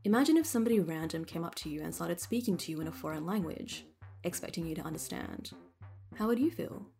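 A woman with an Australian accent reading scripted documentary narration in a measured storytelling manner as the host. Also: on the low side, lively intonation, gravelly, mellow, faint music behind.

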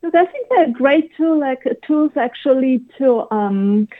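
A woman's voice: sits high, animated, moderate pace. German-accented woman on a tinny phone line. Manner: thoughtful, explanatory, many ums. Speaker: guest expert on language learning. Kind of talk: radio interview answers. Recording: phone-line interview audio.

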